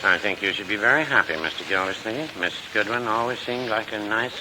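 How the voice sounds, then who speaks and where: An actor playing a mild, cautious, primly polite small-town druggist with a deadpan manner in 1940s radio comedy. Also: male voice, fairly low pitch, brisk, close to an everyday voice. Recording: noisy 1940s radio recording.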